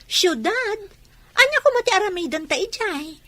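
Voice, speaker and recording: A woman's voice: very high voice, lively intonation, moderate pace, bright, forceful tone, heavily acted. A woman playing a cautious, skeptical young village woman in a radio drama, with an Ilocano accent and a doubtful, exclamatory manner. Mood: hesitant, doubtful. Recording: clear background noise and outdoor ambience.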